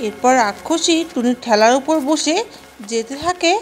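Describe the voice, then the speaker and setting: A woman's voice: high voice, animated, normal speed, faint music behind. A woman narrating a scripted children's cartoon story.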